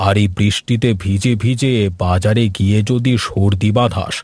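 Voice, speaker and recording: A man's voice: fairly low pitch, normal speed, darkish tone, no room sound, natural voice. A man plays a strict middle-aged father in a cartoon, firm and scolding as he lays down the rules. The voice is dry and close, with no room sound. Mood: stern.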